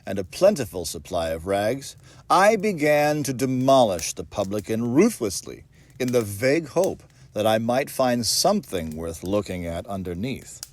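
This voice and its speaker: A man reading a ghost story as dramatic narration, with measured, theatrical phrasing and deliberate pauses. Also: fairly low pitch, very animated. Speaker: audiobook reader.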